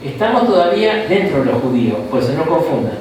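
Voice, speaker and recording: A man with an Argentine accent teaching a Bible class in a relaxed lecture style, often checking in with the room through "¿no?" and short asides. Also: on the high side, fast, a little hiss or hum. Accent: Argentine Spanish. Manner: conversational, frequent "¿no?" and "¿tá?" tags, asides. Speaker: bishop teaching a Bible course. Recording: echoey room, mic far off.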